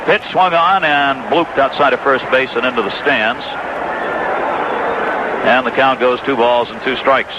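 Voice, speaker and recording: A man's voice: mid-range voice, fairly even intonation, measured pace. An American man calling baseball play-by-play live on 1980s radio. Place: stadium broadcast booth. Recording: noisy, dull vintage AM radio broadcast.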